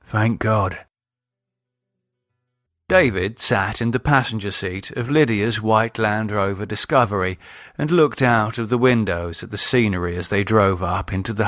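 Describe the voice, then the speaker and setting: A man's voice: lowish voice, fairly bright tone, no echo, clean studio sound. A man reading his own novel as a scripted audiobook narration.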